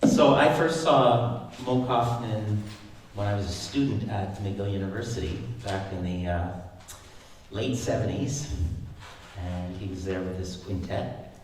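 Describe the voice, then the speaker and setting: A man in his sixties, a flute player, giving halting spoken remarks at a concert with many fillers and restarts. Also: fairly low pitch, a little hiss or hum. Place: large concert hall. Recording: echoey concert hall from far off.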